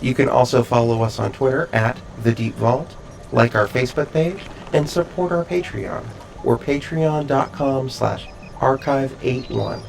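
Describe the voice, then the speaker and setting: A man playing an emotionless, condescending robot, reading a scripted podcast sponsor message in a stilted, precise deadpan. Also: medium pitch, unhurried, creaky, soft background music, poor audio. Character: emotionless sponsor robot gaining sentience, politely condescending to humans.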